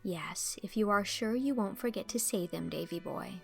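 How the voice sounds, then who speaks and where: A woman playing a gentle, patient young woman talking to a child in an audiobook, affectionate and measured. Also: medium pitch, gravelly, faint music behind, natural voice. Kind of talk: audiobook character acting.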